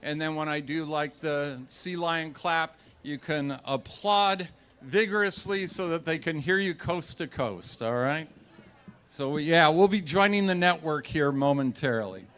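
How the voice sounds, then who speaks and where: Guy directing a live radio play, smooth clear voice, a bit high, playful with the crowd. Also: measured pace, fairly bright tone. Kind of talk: addressing a studio audience, directing cast. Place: live stage with microphones.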